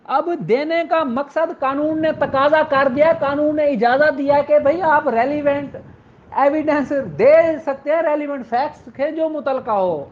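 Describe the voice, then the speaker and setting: A man giving a slow, deliberate classroom law lecture, addressing his exam students in a fatherly way. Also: extremely high pitch. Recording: muffled lo-fi recording, faint noise.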